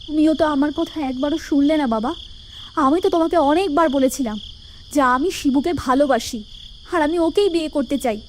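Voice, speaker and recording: Female voice: defiant young daughter, high pitch, cartoon, faint noise. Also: fairly quick, lightly acted.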